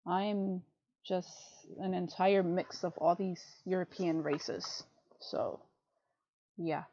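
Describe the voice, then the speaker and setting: A woman's voice: lowish voice, vocal fry, a little mellow, dry recording. A woman co-hosting a livestream podcast, chatting casually and rambling, laughing often and saying "freaking" a lot. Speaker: podcast co-host. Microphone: desk mic on a livestream. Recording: muffled lo-fi stream audio.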